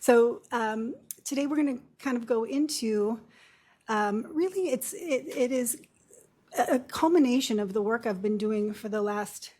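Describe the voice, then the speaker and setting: Woman in her 50s giving a talk, low voice, kinda husky and mellow, chatty with little stories. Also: a little slow. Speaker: guest speaker, nurse practitioner. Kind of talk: seminar talk. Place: lecture room.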